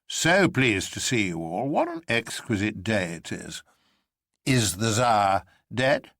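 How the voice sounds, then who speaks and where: A man acts out an immense, mysterious president for a radio story. He is silky and teasing, with grandiloquent phrasing and a booming, theatrical sense of his own riddle. The sound is dry. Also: wildly swooping pitch, slow pace, a bit bright, no room sound, heavily acted. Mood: bland amusement. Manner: smooth, mock-genial, grandiloquent.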